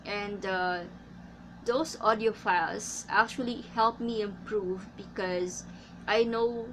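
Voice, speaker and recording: Slow-talking Filipino woman. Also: medium pitch, fairly even intonation, fairly bright tone, slight background noise, low-quality recording. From Philippines. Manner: slow and careful, frequent uh fillers. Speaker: English teacher. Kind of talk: casual tips vlog.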